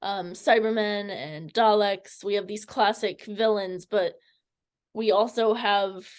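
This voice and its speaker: A woman's voice: medium pitch, slow pace. A woman interviewer asking casual questions, hesitant and searching for her words.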